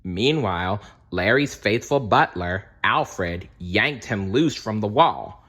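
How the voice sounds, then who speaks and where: A man's voice: on the low side, expressive, slow pace, fairly bright tone. A man narrating a children's storybook aloud in a dramatic way, with little asides to young listeners. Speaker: storybook reader.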